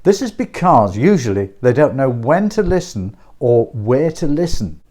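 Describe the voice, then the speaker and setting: A man's voice: medium pitch, sing-song, moderate pace, a little mellow. A man presenting an informal hobby explainer as a video host, chatty, speaking in short repeated phrases and posing questions to the viewer. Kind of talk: informal explainer to camera about a radio hobby.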